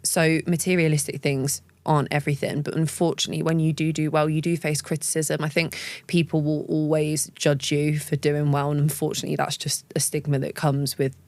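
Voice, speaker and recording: A woman's voice: low voice, little pitch movement, brisk, darkish tone, dry recording. A woman talking as a guest in an interview, earnest and chatty, with lots of "like" and "do you know what I mean". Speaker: guest, beauty business owner. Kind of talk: casual interview chat.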